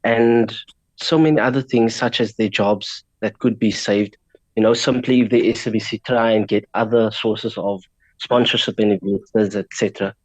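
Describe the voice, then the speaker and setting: South african afrikaans-speaking guy on radio, earnest, lowish voice, dry sound. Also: fairly even intonation, normal speed, no room sound, low-quality recording. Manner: earnest, grateful. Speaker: petition organiser, guest. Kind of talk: radio interview.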